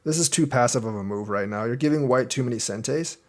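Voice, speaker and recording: A young man walks through a student's board game in a relaxed, conversational way. He thinks aloud as he explains why each move is too passive or not big enough, at an ordinary pitch for a man. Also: fairly quick. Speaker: Go teacher reviewing a game. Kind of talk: casual game review commentary.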